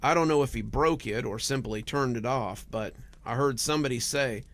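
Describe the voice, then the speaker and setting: A man's voice: mid-range voice, normal speed, fairly bright tone. A man narrating scripted first-person horror stories as a host, with a dramatic storytelling delivery.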